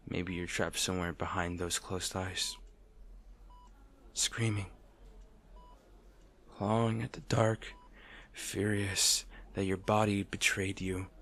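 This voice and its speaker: A man playing a brooding supervillain bitterly attached to his rival, in a hushed, theatrical audio roleplay monologue with deliberate pauses. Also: sits low, slight background noise, exaggerated voice. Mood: brooding, taunting. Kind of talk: dramatic audio roleplay monologue, addressed to the listener.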